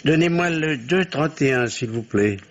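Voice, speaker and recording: A man's voice: fairly high pitch, lively intonation, moderate pace, a little hiss or hum, lightly acted. An actor playing an anxious, evasive middle-aged family doctor and father in an old-style radio drama, hesitant and theatrical. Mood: curious, flustered. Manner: hesitant, theatrical, repeats phrases reassuringly. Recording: muffled old radio recording.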